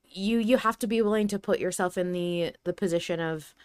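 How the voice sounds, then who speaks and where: A woman's voice: on the low side, a little fast, smooth, no echo, clean studio sound. A woman hosting a podcast, talking through her thoughts in a casual, reflective, conversational way. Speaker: podcast host.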